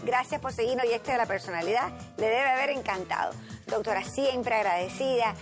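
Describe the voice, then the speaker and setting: A woman's voice: on the high side, fairly quick, over music. A woman with a Cuban accent hosting a talk show in a conversational presenting style.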